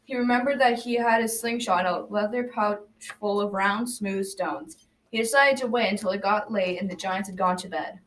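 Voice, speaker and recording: A woman's voice: medium pitch, normal speed, fairly bright tone, natural voice. A school-age girl playing a folksy narrator, reading a scripted folktale in an amateur radio drama. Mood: folksy, storytelling. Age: child about 12 to 13. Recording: distant mic, faint noise.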